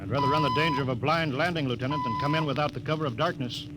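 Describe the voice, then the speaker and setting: An actor playing a firm, decisive spaceship captain in a 1950s radio drama, giving crisp orders on a noisy old recording. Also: male voice, rapid-fire, natural voice. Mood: firm command. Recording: noisy old radio broadcast, engine rumble.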